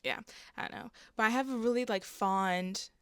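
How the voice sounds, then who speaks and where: A hoarse young woman with a raspy voice, talking casually and candidly to camera on her vlog, full of fillers and swearing. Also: on the high side, normal speed, dry recording, studio quality. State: hoarse from an allergic reaction. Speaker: vlogger.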